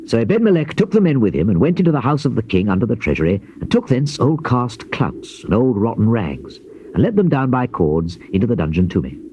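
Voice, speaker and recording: Male narrator for dramatized scripture: dark, mellow voice, solemn measured delivery, dulled noisy tape sound. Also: mid-range voice, big pitch swings. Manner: solemn, theatrical, measured archaic diction. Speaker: scripture narrator playing the prophet Jeremiah. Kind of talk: dramatized King James Bible reading. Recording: muffled, noisy old cassette tape.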